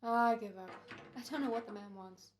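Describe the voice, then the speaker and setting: A woman's voice: fairly high pitch, fairly quick, roomy sound, natural voice. A woman playing a grumbling, exasperated male actor in an old-time radio play, whiny and petulant.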